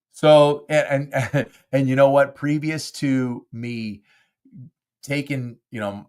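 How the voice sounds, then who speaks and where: A man's voice: medium pitch, a bit bright. A man hosting his own podcast, chatting casually and rambling with lots of fillers while nasal and congested from a cold. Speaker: podcast host. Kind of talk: casual solo monologue. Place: home studio.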